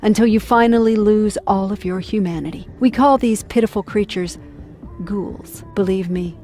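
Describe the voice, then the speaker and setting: A poised female vampire mentor from a video game, acted by a woman. Her mid-pitched voice is measured, knowing and self-assured, with slightly formal phrasing. Faint music plays underneath. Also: dark tone, lightly acted. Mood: composed, instructive. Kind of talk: video game cutscene acting.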